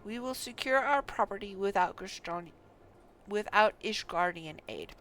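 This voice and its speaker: An earnest young fantasy game nobleman, played by a woman, blunt and a little haughty. The words are formal and emphatic, bright and forceful, with faint noise behind them. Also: medium pitch, lively intonation, moderate pace, lightly acted. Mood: firm, insistent. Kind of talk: reading fantasy game dialogue aloud.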